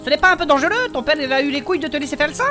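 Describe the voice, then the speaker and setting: A woman playing a cheeky, excitable member of an adventuring band in a fantasy audio drama, colloquial and exclamatory. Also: high-pitched, rapid-fire, soft background music. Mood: curious, friendly. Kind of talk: French fantasy audio fiction acting.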